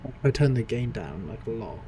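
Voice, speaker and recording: A man streaming a game, with a slightly raspy voice, rambling and thinking aloud into his desk mic. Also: medium pitch, expressive, brisk, a crowd in the background. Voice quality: a bit raspy. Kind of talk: casual live-stream commentary.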